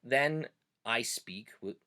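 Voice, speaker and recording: A man with a bright, forward voice talks through a casual monologue as host. His pitch swings widely, and he keeps a chatty, animated flow full of little "uh" pauses. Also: clear, resonant tone. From Pennsylvania Dutch country, USA. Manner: chatty and animated, frequent "uh" fillers. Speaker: host and teacher.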